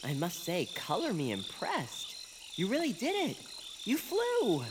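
An actor playing a timid, supportive male bird, hesitant and gently earnest, in an audio drama. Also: high voice, wildly swooping pitch, unhurried, background noise, full character voice. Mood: encouraging.

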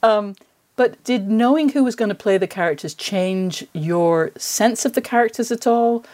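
A woman's voice: medium pitch, moderate pace, darkish tone. A woman speaking as a podcast host, in a conversational interviewing style.

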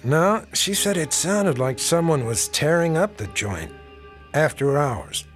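An actor playing a middle-aged police detective in a radio drama, folksy and probing with casual insinuation. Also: male voice, on the high side, expressive, a little slow, faint music behind. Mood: insistent.

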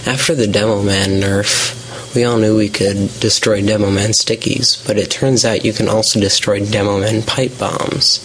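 A slightly noisy amateur recording carries a man's fairly low voice. He speaks as a listener sending a prepared audio question to a gaming show. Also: level tone, moderate pace, a bit bright. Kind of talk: prepared voice message.

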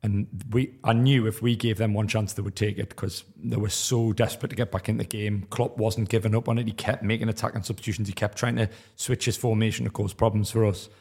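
A man with a husky voice, a podcast panelist going through the match in a measured, analytical way. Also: on the low side, little pitch movement, a little mellow. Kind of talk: football podcast discussion.

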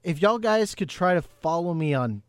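A man co-hosting a casual podcast, excitable and rambling, with a fairly high voice. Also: normal speed, dry recording. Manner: excitable, rambling, talks in bursts. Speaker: podcast co-host.

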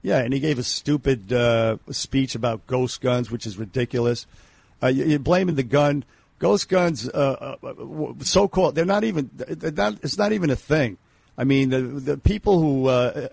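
A male radio co-host with a raspy voice, talking bluntly and rambling with many fillers in an opinionated talk show. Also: medium pitch, normal speed, darkish tone, no echo.

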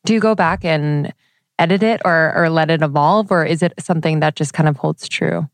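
A woman's voice: deep voice, fairly quick, no echo. A woman hosting an interview, asking questions and answering with short affirming reactions. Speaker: interview host.

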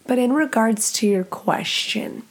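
A woman with a deep, nasal voice hosting a podcast in a blunt, profane, self-mocking casual monologue. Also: unhurried. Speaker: podcast host.

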